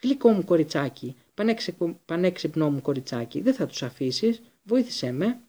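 Casting: male narrator as grovelling middle-aged coward, high-pitched, breathless, whimpering, husky, storybook villain. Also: lively intonation, dark tone. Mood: wheedling, pleading. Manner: pleading, stammering repetition.

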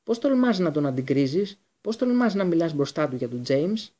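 Casting: male narrator as vengeful wizard, fierce growl, husky, accusing, emphatic, storybook reading. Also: fairly high pitch, animated, mellow. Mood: outraged.

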